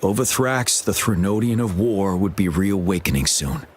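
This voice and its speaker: A man's voice: sits low, lively intonation, moderate pace, slight background noise. A man playing a stern, resolute military general in a game, speaking in a grave, formal, commanding manner. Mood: grave, urgent. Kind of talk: scripted game dialogue. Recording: background music.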